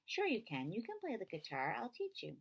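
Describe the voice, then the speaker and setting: A woman reading a children's novel aloud, voicing a feisty, quick-tempered girl who is earnest and insistent with her friend. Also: medium pitch, huge pitch swings, gravelly, husky, highs cut off, voice pushed up, heavily acted. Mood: encouraging.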